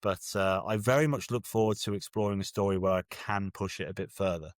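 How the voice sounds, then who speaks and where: A British man with a fairly deep voice in a very dry recording. He speaks casually as an interview guest, hesitating and rephrasing as he goes, with a wry edge. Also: steady tone, brisk, no room sound. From Birmingham, England. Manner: hesitant, self-correcting, wry. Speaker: guest author.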